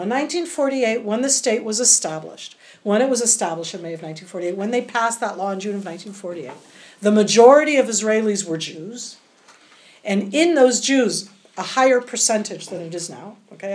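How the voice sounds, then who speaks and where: A woman's voice: mid-range voice, a little mellow. An American woman in her fifties giving a public lecture to a group, explaining at length with many asides. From United States, California. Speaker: guest lecturer.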